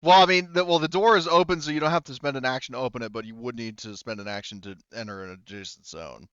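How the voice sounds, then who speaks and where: A man running a tabletop game as game master, explaining rules in a casual, halting way. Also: mid-range voice, fairly bright tone, clean tone, no echo, muffled recording.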